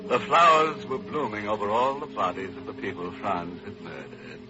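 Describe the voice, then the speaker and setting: An actor playing a sly, mocking host of an old radio horror show, with a darkly jocular way of talking. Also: male voice, lowish voice, moderate pace, lightly acted. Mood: gleefully macabre. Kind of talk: 1940s radio horror hosting. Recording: muffled, noisy vintage radio recording.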